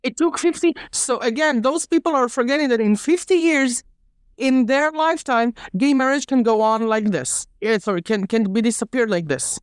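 A woman's voice: on the high side, normal speed, clear, resonant tone, no room sound. A woman guest on a discussion podcast, speaking English as her second language. She argues emphatically and indignantly, often restarting her sentences.

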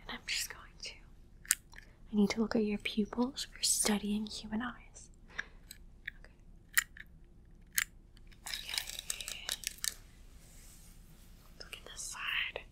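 A woman's voice: mid-range voice, little pitch movement, natural voice. Woman playing a whispering, clinical female alien doctor. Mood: clinical, insistent. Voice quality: soft breathy whisper. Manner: slow repetitive instructions, matter-of-fact. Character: female alien doctor, clinical, condescending, studying human captive. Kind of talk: whispered ASMR medical exam roleplay.

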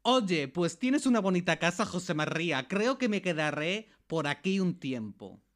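A woman's voice: fairly low pitch, big pitch swings, normal speed. A woman with an accent from Spain voicing a mocking, gloomy anxiety that intrudes and taunts, in an amateur comic radio play. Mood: taunting.

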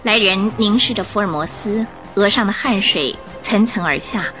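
A woman narrating a scripted radio drama in an expressive storytelling manner. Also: mid-range voice, normal speed, faint music behind, low-quality recording.

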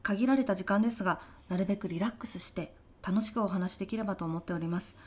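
A woman's voice: mid-range voice, fast talker, a little mellow, breathy edge, close to an everyday voice. A woman playing a polite but easily exasperated veteran job interviewer in an audio comedy sketch, speaking formal business Japanese. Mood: polite, composed.

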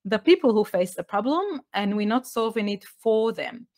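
A woman's voice: mid-range voice, lively intonation, moderate pace, darkish tone. Israeli-accented woman explaining earnestly. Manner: earnest, explanatory, with light laughs and slightly non-native phrasing. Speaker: guest, education author. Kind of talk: podcast interview.

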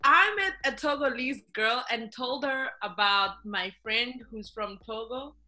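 A woman co-hosting a podcast with a high, bright voice. She tells her stories in an animated way. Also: unhurried. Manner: animated storyteller. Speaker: podcast co-host. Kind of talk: casual chat.

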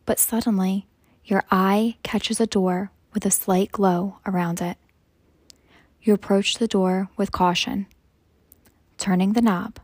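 A woman guides a meditation in a soft, breathy, hushed voice, measured and soothing, leaving long pauses between phrases. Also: medium pitch, no echo. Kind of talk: slow guided meditation narration.

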